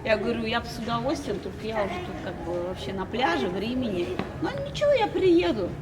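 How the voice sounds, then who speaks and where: A woman in her thirties from Russia, telling her story as an interview guest in an animated way and laughing often. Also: a little high, fast talker. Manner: animated, laughs often, quotes people in a raised voice. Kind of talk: casual storytelling. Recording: loud crowd noise behind.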